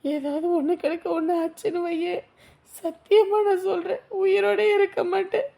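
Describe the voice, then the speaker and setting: A woman's voice: extremely high pitch, level tone, normal speed, creaky, warm tone. An actress playing a young woman who is ashamed and frightened, speaking tearfully and pleadingly. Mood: distraught.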